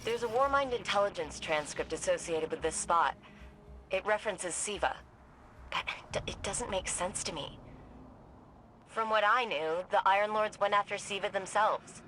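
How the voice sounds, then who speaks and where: A woman's voice: mid-range voice, normal speed, a bit bright, soft background music, close to an everyday voice, rough recording. A woman acting an earnest, puzzled young investigator in a video game, thinking aloud in a conversational way as she briefs soldiers. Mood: intent, then troubled, revelatory.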